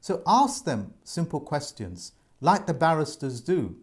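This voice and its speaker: A man's voice: on the high side, big pitch swings, measured pace. A man giving viewers earnest, repetitive advice in an informal monologue, addressing them as folks. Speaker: presenter giving debt advice.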